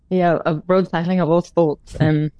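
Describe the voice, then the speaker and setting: A woman's voice: lowish voice, level tone, measured pace, dry recording, muffled recording. A middle-aged woman from Denmark, a former road cyclist, answering radio interview questions hesitantly with many fillers and restarts.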